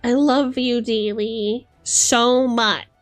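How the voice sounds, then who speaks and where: A woman streamer chatting casually and reading aloud from a rulebook, with an animated, theatrical manner as she reacts. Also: a little high, very slow, no echo. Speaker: streamer host. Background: music.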